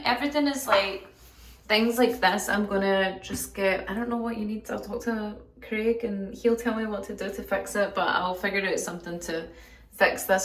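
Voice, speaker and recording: A woman vlogging a casual apartment tour indoors, talking in a monotonous, relaxed way with lots of fillers. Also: medium pitch. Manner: monotonous, flat delivery; relaxed, filler-heavy talk.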